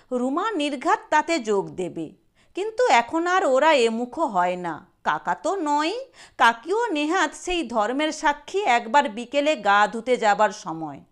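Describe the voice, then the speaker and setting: A woman reading a short story aloud in an expressive storytelling manner. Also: high-pitched. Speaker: audio story narrator. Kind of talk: scripted dramatic narration of a Bengali short story.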